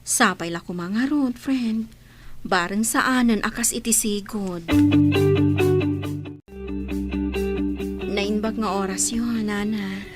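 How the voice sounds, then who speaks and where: A woman playing a wistful, respectful young single mother in a radio drama, with soft, heartfelt delivery. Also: mid-range voice, a little slow, over music, lightly acted.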